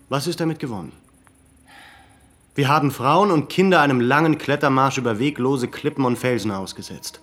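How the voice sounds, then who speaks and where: A man's voice: medium pitch, fairly bright tone, lightly acted. A resolute military leader lays out his plan in a firm and commanding way, as a man plays him. His voice sits in a middle range. This is a radio play. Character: resolute male military leader planning a raid. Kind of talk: German radio play acting.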